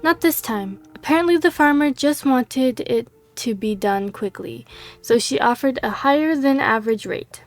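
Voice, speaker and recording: A woman's voice: sits high, moderate pace, soft background music, lightly acted. A woman reading the lines of a female monster hunter adventurer in her own plain voice, slightly losing her voice. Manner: reads dialogue aloud in her own plain voice.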